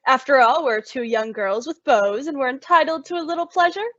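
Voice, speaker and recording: Sensible young peacemaker, played by a woman, wry, high voice, radio comedy, dry lo-fi sound. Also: brisk, fairly bright tone, no room sound. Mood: practical. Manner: sweetly diplomatic, wry. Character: sensible young working girl, exasperated peacemaker. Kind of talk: old-time radio comedy acting. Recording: dull lo-fi recording.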